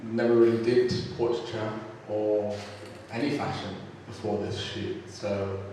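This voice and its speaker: A young man from North London answers hesitantly, restarting his sentences. His voice sits a little low and comes from a distance. A long reverb and a clear background hum surround him. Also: steady tone, a little slow, warm tone. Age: 20s. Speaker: photography trainee. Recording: echoey hall, distant mic, noisy.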